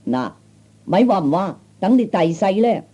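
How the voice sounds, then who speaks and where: A man's voice: high-pitched, sing-song, normal speed, mellow, husky, full character voice. A man playing a cocky, blustering young street tough with a Cantonese accent, in old radio comedy style. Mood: threatening. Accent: Cantonese, Hong Kong or Guangdong. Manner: blustering, taunting, stammering interjections. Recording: dull old 1960s comedy record.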